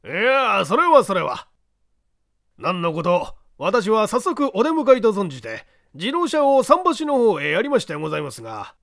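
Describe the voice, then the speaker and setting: Castle owner for mystery audiobook, played by a man: courteous, gushing gentleman, high animated voice, deferential phrasing. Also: normal speed, gravelly, no echo, clean studio sound, heavily acted. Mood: apologetic, eager. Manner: deferential, gushing, hesitant politeness. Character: effusive, polite gentleman owner of an old castle. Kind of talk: audiobook character dialogue.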